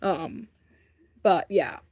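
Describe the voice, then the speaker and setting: A woman's voice: lowish voice, very slow, breathy edge, dull audio. A woman hosting a casual livestream, talking in a rambling, earnest and emphatic way and often repeating herself. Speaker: livestream host.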